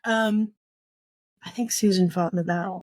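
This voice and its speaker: A woman's voice: medium pitch, fairly even intonation, moderate pace, darkish tone, dry recording. Woman thinking aloud, close dry sound. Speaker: book podcast co-host. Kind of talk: casual book discussion chat.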